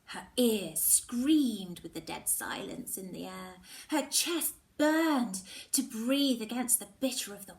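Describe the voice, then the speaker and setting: A woman teacher reading a novel aloud, expressive and slipping into whispers at tense moments. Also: medium pitch, far from the mic, rough recording. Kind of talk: storybook reading.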